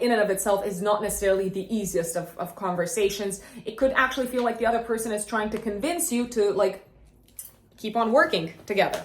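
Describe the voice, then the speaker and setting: A woman from Romania, a native Romanian speaker, talks with a fairly high-pitched voice in a noticeably echoey room. She hosts a horoscope in a chatty, informal way, repeating words as she thinks aloud and keeping a playful touch. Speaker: astrologer and host. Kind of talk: casual, chatty monthly horoscope talk.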